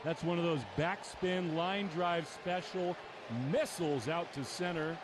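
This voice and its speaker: A man's voice: high-pitched, fairly even intonation, a little slow. A man commentating live on a baseball game for radio. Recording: noisy live ballpark broadcast.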